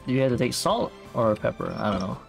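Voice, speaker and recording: A man's voice: mid-range voice, lively intonation, moderate pace, vocal fry, soft background music. A man casually commentating his gameplay as a streamer, muttering to himself in a self-deprecating way. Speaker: game streamer.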